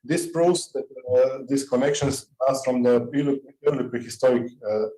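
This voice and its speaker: Male presenter on a video call, halting, mellow tone, roomy audio. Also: medium pitch. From Croatia. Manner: halting, many fillers. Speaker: conference presenter. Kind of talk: online presentation. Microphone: computer mic on a video call.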